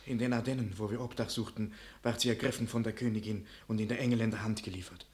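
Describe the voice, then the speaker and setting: A man's voice: lowish voice, fairly even intonation, fast, darkish tone, natural voice. A man playing an earnest bearer of grave news in a classical radio drama, pleading urgently. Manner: urgent, declamatory. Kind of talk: classical German stage-style radio drama.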